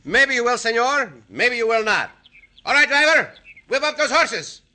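An actor playing a suave, gallant, mocking Mexican bandit hero in an old radio western, courtly and teasing with a Mexican accent. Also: male voice, very high-pitched, very animated, moderate pace, bright, forceful tone, full character voice. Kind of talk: 1950s radio western acting. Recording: noisy old radio recording with clicks.

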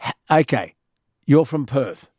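A middle-aged man hosting a talk radio show, gruff and teasing. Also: mid-range voice, animated, unhurried, a little mellow, no room sound, studio quality. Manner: gruff, teasing, self-mocking. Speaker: radio host.

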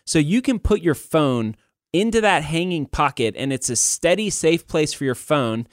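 A man's voice: on the high side, animated, moderate pace, dry recording, studio quality. A man hosting a podcast in a casual, conversational way, talking informally to other guys like buddies. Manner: informal, buddy-like address to male listeners. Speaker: podcast host.

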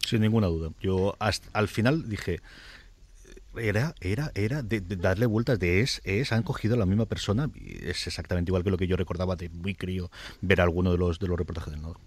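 A man's voice: low voice, fairly quick, a little mellow, dry recording. A man giving casual opinions as a panelist. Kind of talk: casual discussion.

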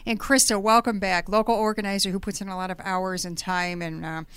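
A woman's voice: mid-range voice, little pitch movement, brisk, a bit bright, clean tone, no echo. Chatty woman hosting a radio show. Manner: chatty, punning, laughs often. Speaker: radio host. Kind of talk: casual radio interview. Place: radio studio. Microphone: studio microphone.

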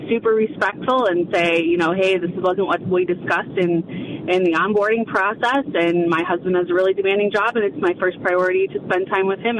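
A woman calling into a radio show by phone, giving earnest, steady advice. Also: medium pitch. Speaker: caller. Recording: phone call with clear road noise.

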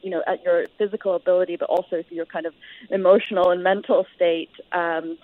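A woman's voice: fairly low pitch, fairly even intonation, a little fast, no echo, narrow, tinny audio. A woman giving detailed, reflective answers as a guest author in a conversational interview.

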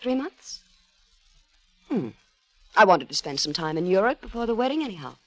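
A woman's voice: medium pitch, sing-song, normal speed, dry recording, close to an everyday voice. An actress playing a proud, imperious, coquettish wealthy woman in a 1950s radio drama. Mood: wounded, cutting. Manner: haughty, theatrical, commanding.

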